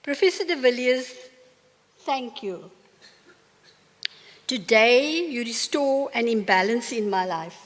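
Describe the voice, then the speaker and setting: An older woman with a South African accent giving a formal acceptance speech in a large university hall, measured and earnest. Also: fairly high pitch, animated. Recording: long reverb of a large hall.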